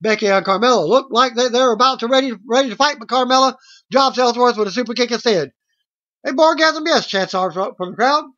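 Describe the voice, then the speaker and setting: A middle-aged man reading out a wrestling results recap as host, in a halting way, on a webcam mic at home. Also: extremely high pitch, bright, forceful tone. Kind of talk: read-out play-by-play results recap. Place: small room at home, indoors. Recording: dull lo-fi webcam audio.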